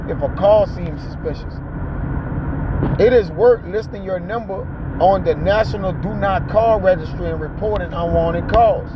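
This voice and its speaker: A man hosting a ride-along talk, reading aloud and commenting in a colloquial, exhorting way with frequent checking tags, recorded inside a vehicle. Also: high voice. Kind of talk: preachy casual commentary, reading an article aloud and riffing. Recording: muffled audio with loud car noise. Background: car and road noise.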